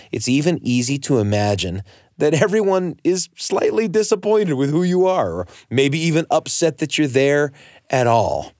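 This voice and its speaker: A man's voice: medium pitch, darkish tone, no room sound, clean studio sound. An American man hosting a radio storytelling show in a conversational, emphatic way, often turning to the listener with questions.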